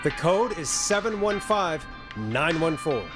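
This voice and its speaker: A man's voice: a little high, big pitch swings, a little slow, over music. A man playing a wry, composed late-night radio DJ in a video game. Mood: urgent. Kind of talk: video game voice acting, 1980s radio host.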